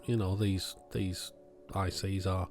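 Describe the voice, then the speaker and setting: A man's voice: low voice, little pitch movement, takes it slowly, a little mellow, soft background music. A man hosting a hobby electronics video, giving casual, matter-of-fact commentary as he thinks aloud while handling and testing things.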